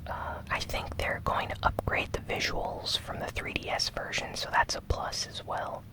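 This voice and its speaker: A host whispering a gaming news recap in ASMR style, softly and without hurry, close to the microphone. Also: low-quality recording. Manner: soft, unhurried whispering.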